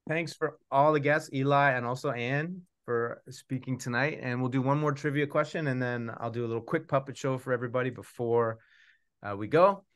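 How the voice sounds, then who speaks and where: A man's voice: mid-range voice, a little fast, a bit bright, clean tone. A middle-aged man, casually hosting an online event from a room at home. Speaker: host, puppeteer and author. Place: home room on a video call.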